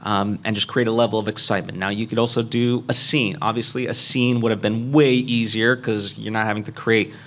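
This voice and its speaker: A man's voice: fairly low pitch, level tone, a little fast, hardly any pauses, a bit bright. A man speaking as a panelist at a live convention, methodically explaining things point by point. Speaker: panelist, indie animation filmmaker.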